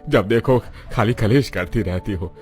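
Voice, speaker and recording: A man's voice: fairly low pitch, unhurried, a little mellow, soft background music, close to an everyday voice. A man voicing a grumpy, sleepy old husband and grandfather in a cartoon story, irritable and complaining. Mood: irritated, sleepy. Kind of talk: Hindi cartoon story dialogue.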